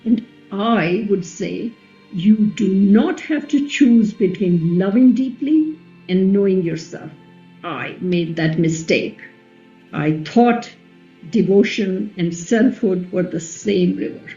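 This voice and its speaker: Woman acting a gentle ancient Indian queen, soft dark tone, unhurried, reflective, radio play, slightly muffled over faint music. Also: medium pitch, expressive. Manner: measured, reflective. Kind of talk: dramatic play reading, radio play. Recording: muffled recording with music behind.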